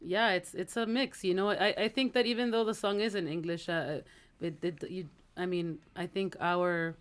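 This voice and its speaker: A woman's voice: lowish voice, steady tone, normal speed, smooth. A woman from Los Angeles, a band singer, chatting casually in an interview and often checking for agreement. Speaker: lead singer being interviewed. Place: radio studio.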